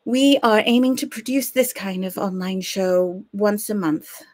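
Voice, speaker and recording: A woman's voice: medium pitch, measured pace, darkish tone, no room sound, clean studio sound. An American woman from the United States in her thirties, hosting a live-streamed history show from her home study in a chatty, friendly way with small fillers. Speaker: host.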